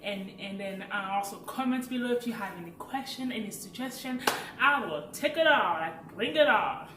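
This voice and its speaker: A woman's voice: fairly high pitch, normal speed, distant mic, noisy background. Chatty French-accented woman in an echoey room. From Africa. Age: late 20s. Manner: chatty and animated, laughs while telling stories. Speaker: vlogger. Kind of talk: casual personal storytelling.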